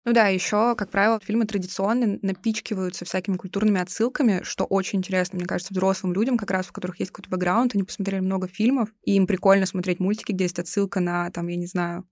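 A young woman speaks as a co-host in a casual discussion. She talks extremely fast with a flat, even intonation, recorded dry and close. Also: mid-range voice, no room sound.

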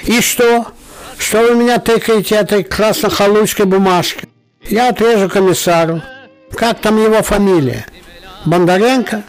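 A man's voice: high voice, normal speed, poor audio. An actor playing a sarcastic, indignant merchant father with an Odessa accent, firing biting rhetorical questions in a radio play. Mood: indignant sarcasm.

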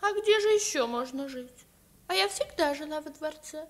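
A woman's voice: high voice, expressive, normal speed. A woman in a 1950s radio play acting a sweet, pampered young princess with a tender, theatrical delivery. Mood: dreamy.

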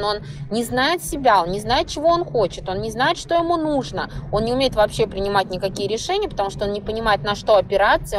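A woman's voice: medium pitch, low-quality recording. A woman hosting a podcast, talking conversationally and expressively in a monologue. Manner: expressive, often acts out imagined inner voices. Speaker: podcast host.

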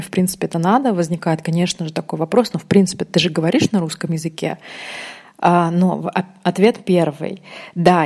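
A woman in her thirties from Uzbekistan, hosting a casual podcast monologue, thoughtful and often pausing with ums to correct herself. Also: lowish voice, darkish tone. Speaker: podcast host.

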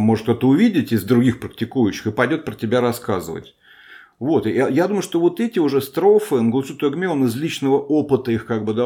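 A man's voice: medium pitch, darkish tone. A man hosting a podcast who gives an informal spoken lecture on a Buddhist text, thinking aloud with frequent restarts and a self-deprecating touch.

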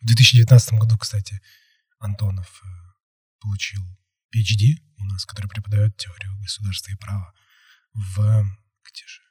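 A man's voice: fairly low pitch, little pitch movement, fairly quick, husky, dry recording, studio quality. A young man hosting a casual podcast, talking in a rambling way full of fillers and hedges. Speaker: podcast host.

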